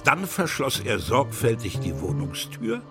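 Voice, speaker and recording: A man narrating a children's radio play, telling the story deliberately in short, measured phrases. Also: medium pitch, huge pitch swings, over music.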